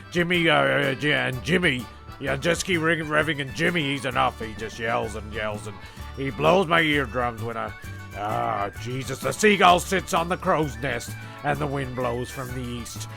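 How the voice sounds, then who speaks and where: A gravelly-voiced man narrating an amateur comedy audio drama in a rambling, halting way, often repeating his phrases. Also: medium pitch, bright, forceful tone.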